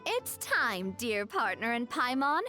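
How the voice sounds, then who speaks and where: A woman's voice: a little high, big pitch swings, slow, bright, forceful tone, faint music behind, heavily acted. A woman playing a spirited, confident young lady in a video game, bold and theatrical. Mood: eager.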